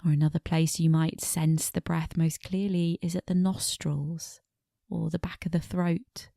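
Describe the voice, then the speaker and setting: Woman, meditation teacher, creaky dark voice, soft breathy phrase endings, gentle pacing with pauses, guided body scan. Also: low voice, level tone, no room sound, clean studio sound. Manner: gentle, unhurried, pausing between short phrases.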